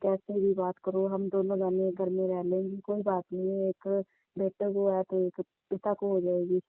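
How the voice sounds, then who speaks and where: Tinny phone audio, young woman played by an actress, aggrieved, insistent, complaining, repetitive, monotone delivery, phone drama. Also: medium pitch, moderate pace, no echo, lightly acted. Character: aggrieved, insistent young divorced woman confronting older lover. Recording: muffled, narrow tinny phone line.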